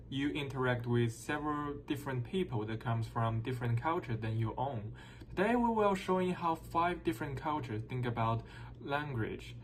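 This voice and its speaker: A man's voice: medium pitch, slight background noise. A young man reading a formal, careful introduction for a group vlog. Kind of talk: scripted introduction.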